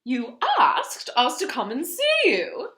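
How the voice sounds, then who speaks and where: A polite lady giraffe speaks up firmly and proudly, voiced by a woman reading a storybook. Her voice sits high and carries. The room is echoey. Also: wildly swooping pitch, slow pace, fairly bright tone, heavily acted. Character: polite confident female giraffe.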